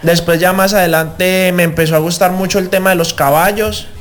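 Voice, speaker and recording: A man's voice: high voice, steady tone, fairly quick. A young Colombian man from Cali with a Colombian accent, a singer being interviewed on the radio, talking in a grateful, humble way with many fillers. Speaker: guest singer.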